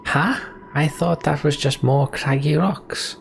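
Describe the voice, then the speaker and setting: A blunt, wry caravan warrior, put on by a man, forever complaining. The voice is lifted well above the reader's own, with a creaky edge and some background noise. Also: fairly high pitch, slow pace, pitched above the performer's own voice. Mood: grousing.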